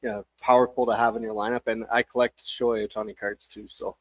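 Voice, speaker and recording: A man speaking as a baseball coach, giving casual, measured interview answers with hesitant phrasing. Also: fairly low pitch, little pitch movement, no room sound.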